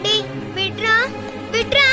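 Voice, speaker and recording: A woman's voice: very high-pitched, slow, loud music behind the voice. A woman voicing a bossy, stubborn young sister cat in a children's cartoon, scolding and whiny. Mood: quarrelsome. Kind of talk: children's animated story acting.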